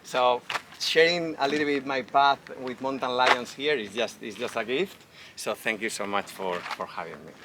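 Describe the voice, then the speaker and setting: A man with a Spanish accent gives a public lecture in a friendly, eager way, now and then asking his listeners questions. His voice sits at an ordinary middle pitch. Clear background noise from the outdoor festival runs under his words. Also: moderate pace. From Spain. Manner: enthusiastic, friendly, asks the audience questions. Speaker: wildlife veterinarian giving a talk. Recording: noisy outdoor festival recording.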